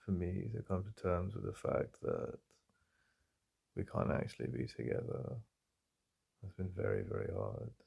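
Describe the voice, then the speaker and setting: An English man speaking softly and intimately in a boyfriend ASMR roleplay, halting and heartfelt. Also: deep voice, sing-song, vocal fry, slightly rough.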